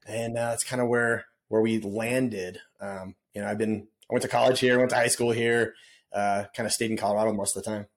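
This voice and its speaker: Middle-aged man, podcast guest, casual storyteller, medium pitch, laid-back slang.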